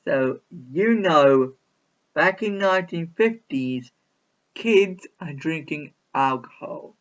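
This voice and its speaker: Man, fairly high voice, chatty reaction host, rambling and repetitive, mock-baffled, slightly dull lo-fi sound. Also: expressive, takes it slowly, fairly bright tone. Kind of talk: casual rambling commentary on a video.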